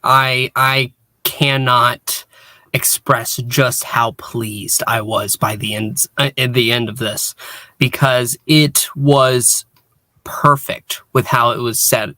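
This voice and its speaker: A man in a casual fan discussion, speaking earnestly and emphatically with halting pauses. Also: medium pitch, bright, forceful tone, dry recording. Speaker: podcast panelist.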